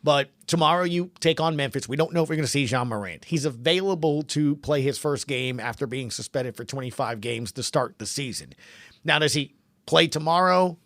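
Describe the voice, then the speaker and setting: A man's voice: a little high, expressive, fairly quick, fairly bright tone, dry recording. A man hosting a sports podcast, giving casual commentary in emphatic, punchy conversational phrases.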